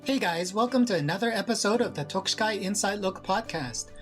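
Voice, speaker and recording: Podcast host, medium pitch, reads a scripted intro, then asks easygoing questions, faint music underneath. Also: expressive, fairly quick, fairly bright tone. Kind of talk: podcast interview.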